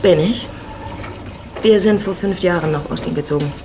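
A woman's voice: on the low side, moderate pace, background noise, lightly acted. An actress playing a worldly, flirtatious married woman in her forties, sardonic and self-assured, in a radio drama. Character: worldly, teasing 45-year-old married Mexican woman.

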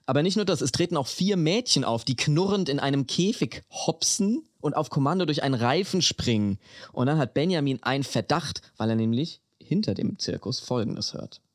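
A man's voice: fairly high pitch, animated, moderate pace, no room sound, studio quality. A man hosting a podcast, telling things in a casual, comedic, mock-horrified way with slangy English phrases. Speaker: podcast host. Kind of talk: casual comedic storytelling chat.

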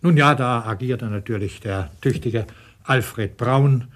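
Husky guy remembering stuff unscripted, lots of halting hesitation, medium pitch. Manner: halting, hesitant, searching for words. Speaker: radio announcer looking back. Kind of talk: unscripted spoken reminiscence.

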